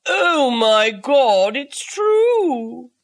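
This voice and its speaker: Woman doing an excitable older gentleman in a storybook, lively and slow. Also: very high-pitched, voice pushed up, exaggerated voice. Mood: excited. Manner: breathless, excited. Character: polite, excitable gentleman host.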